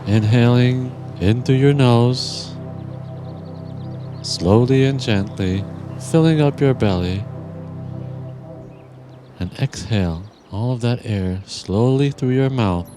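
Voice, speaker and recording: Male meditation guide: unhurried, gentle and deliberate, leaving long pauses, set over prominent music. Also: medium pitch.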